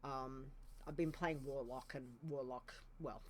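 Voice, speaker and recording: A woman's voice: a bass voice, steady tone, a little slow, darkish tone, a little hiss or hum. A woman co-hosting a podcast in casual chat, thinking aloud with frequent ums and restarts. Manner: hesitant, thinking aloud with many fillers. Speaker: podcast co-host.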